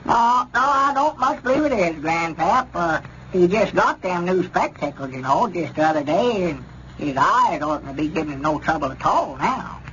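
An actor playing a gullible old country storekeeper with a rural Southern American accent, rambling and repeating himself in 1930s radio comedy style. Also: male voice, high voice, expressive, moderate pace, a bit bright. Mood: stubborn, complaining. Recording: dull, noisy 1930s radio recording.

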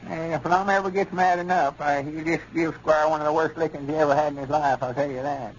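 Folksy old country grandpap played by a man, highish voice. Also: fairly even intonation, fairly quick, lightly acted. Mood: reassuring. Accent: rural Southern US. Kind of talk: 1930s radio comedy acting. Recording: dull, noisy 1930s radio recording.

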